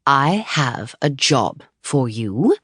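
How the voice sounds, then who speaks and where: A smug-slow, teasing Hollywood manager voiced by a woman narrator savours his big news. He stretches out each phrase with dramatic pauses, in a low voice with a dry audiobook sound. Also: big pitch swings, a bit bright, breathy edge, no room sound, exaggerated voice. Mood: savouring suspense. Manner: drawn-out delivery with dramatic pauses.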